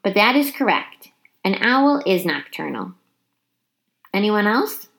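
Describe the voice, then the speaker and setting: A woman's voice: mid-range voice, sing-song, slow, creaky, fairly bright tone, full character voice. A woman voicing a stern, humorless gray-haired teacher in a storybook, matter-of-fact and correcting.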